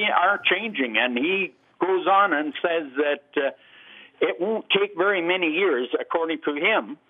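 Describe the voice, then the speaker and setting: A man's voice: fairly high pitch, breathy edge. A Canadian man calling into a radio show, asking a long question in a rambling, hesitant way with many fillers. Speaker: caller. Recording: tinny phone line.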